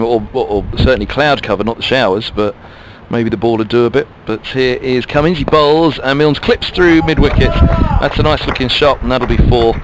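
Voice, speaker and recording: A man calling cricket ball by ball for radio, his voice in a middle register. He muses and chats between deliveries and picks up the pace when the bowler runs in. Faint outdoor noise sits behind him. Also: mid-range voice. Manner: chatty, musing between deliveries. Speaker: cricket commentator.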